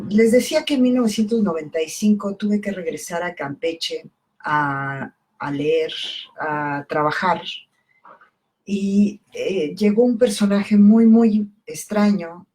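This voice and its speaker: Slow, halting woman. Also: on the low side, a little mellow, a little hiss or hum, poor audio. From Italy, raised in southern Mexico. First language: Italian. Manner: unhurried, many hesitations and fillers. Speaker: poet and editor presenting her book. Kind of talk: live-streamed talk.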